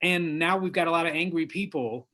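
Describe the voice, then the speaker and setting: A man being interviewed as an author, lawyer and diversity trainer, speaking in an earnest, measured and emphatic way. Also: a little high, bright, forceful tone. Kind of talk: interview guest, conversational.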